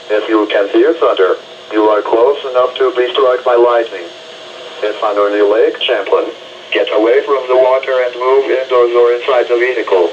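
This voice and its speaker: Distant, noisy synthetic American male radio announcer. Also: mid-range voice. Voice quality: synthetic text-to-speech voice. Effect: weather radio broadcast. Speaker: automated weather radio announcer. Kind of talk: scripted weather bulletin reading. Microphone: radio receiver picked up by a camera mic. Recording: noisy, distant, muffled radio speaker pickup.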